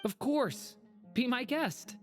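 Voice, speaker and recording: Lively, gentle young dragon boy. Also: very high-pitched, slow, faint music behind, heavily acted. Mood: friendly. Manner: earnest, polite. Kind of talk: video game character acting.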